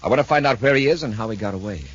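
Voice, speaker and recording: A commanding, impatient space patrol chief in 1950s radio adventure style. The voice is low and hard-edged, firing clipped orders at a fast clip. It comes through an old, narrow-band recording. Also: male voice, breathy edge. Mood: urgent, commanding. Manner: brisk, authoritative, clipped orders.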